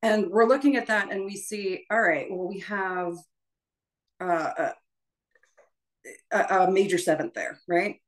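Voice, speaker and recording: A woman's voice: mid-range voice, a little slow, long pauses. A woman leading a choral workshop as a music teacher, explaining informally and conversationally, often checking in with her listeners.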